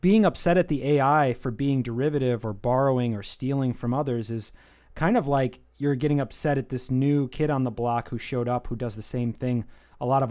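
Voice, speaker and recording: An American man chatting casually on a live art stream, thinking out loud as he talks. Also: medium pitch. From United States. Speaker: streaming artist. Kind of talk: casual chat while drawing on stream.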